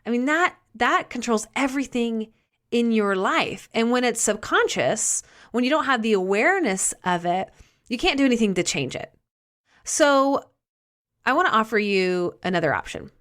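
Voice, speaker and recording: A middle-aged woman hosts a solo podcast in an earnest, conversational way, slowing down to stress key phrases. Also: fairly high pitch, very animated, a bit bright. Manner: earnest, conversational, with many restarts and some slow, emphatic phrasing. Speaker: podcast host and life coach.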